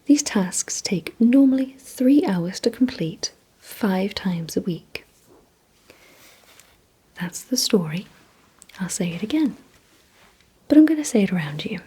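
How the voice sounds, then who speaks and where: A woman whispering breathily in an ASMR vampire roleplay, speaking deliberately to the listener as a gently instructing host. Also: mid-range voice, animated. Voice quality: breathy, mostly unvoiced whisper.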